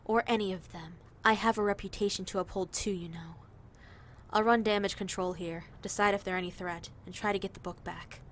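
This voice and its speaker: A woman's voice: medium pitch, fairly even intonation, brisk, fairly bright tone, slight background noise, natural voice. A woman playing a cold, bossy conspirator who scolds and commands her underlings in a condescending way. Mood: stern, controlled. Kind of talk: dramatic voice acting in audio drama.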